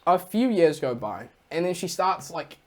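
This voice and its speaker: A man's voice: fairly high pitch, very animated, moderate pace. A young man from New Zealand with a New Zealand accent, telling stories on a casual podcast in a rambling way full of "like". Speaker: podcast co-host. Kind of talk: casual storytelling chat.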